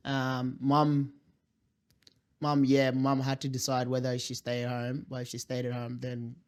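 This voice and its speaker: A young man from South Sudan, a native Dinka speaker, recounts his past as an interview guest. He talks in a flat, even tone and often stops and starts with fillers. His pitch sits at an ordinary middle height. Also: a little slow, clean tone. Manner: hesitant, many fillers and restarts. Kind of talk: interview storytelling.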